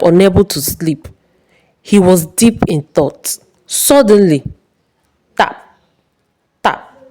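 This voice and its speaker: A woman tells a dramatic folktale in a solemn, suspenseful manner. Her voice is mellow and a little low, and it rises and falls a great deal as she moves at a measured, unhurried pace. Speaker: storyteller.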